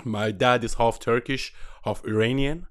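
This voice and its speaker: A man from Iran hosts a relaxed interview over a video call. His delivery is energetic and emphatic, scattered with casual fillers. The sound is dry and close, at a middle pitch. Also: measured pace, dry recording. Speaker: host.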